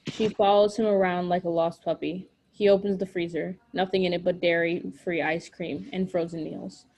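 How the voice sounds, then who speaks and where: A woman narrates a drama script in short, measured phrases. Her voice is fairly low, dark and mellow, suited to reading scene directions. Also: level tone, vocal fry, slight background noise, low-quality recording.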